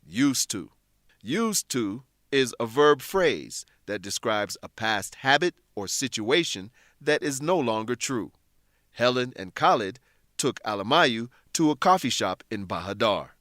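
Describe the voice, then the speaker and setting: A man narrating a scripted English lesson in a deliberate, instructional manner. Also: medium pitch, very animated, fairly bright tone, dry recording, studio quality.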